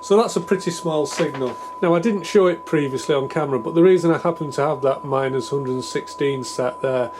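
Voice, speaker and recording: A man's voice: a little high, fairly quick, few pauses. A man giving a casual, improvised technical demonstration, thinking aloud in a tentative, self-deprecating way. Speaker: hobbyist radio repair presenter. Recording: clear background noise with hum.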